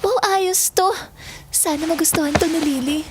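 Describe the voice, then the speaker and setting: A woman's voice: very high-pitched, moderate pace, noisy background. An actress playing an earnest young girl in a Filipino audio drama. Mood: cheerful. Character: earnest young girl insisting her imaginary friend is real. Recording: music under the voice.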